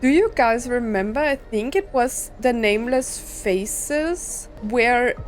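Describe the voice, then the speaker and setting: A woman's voice: on the high side, animated, slow pace. Girl streamer reacting to a game, casual chatty talk, repeats words, bit of music behind. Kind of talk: casual playthrough commentary, reading subtitles aloud.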